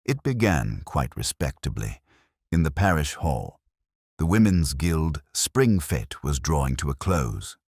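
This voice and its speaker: A very low-voiced man tells a mystery story with dry, literary wit. His delivery is measured yet swings widely in pitch, with a husky edge and a close, dry sound. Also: big pitch swings, a little mellow, no room sound. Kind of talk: scripted dramatic story narration.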